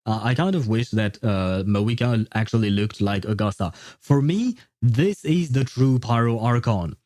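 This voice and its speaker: A man's voice: mid-range voice, expressive, unhurried, a little mellow, no echo, clean studio sound. A man chatting casually as a game livestream host, rambling and opinionated. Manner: rambling, opinionated, often chuckling. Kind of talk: casual gaming livestream chat.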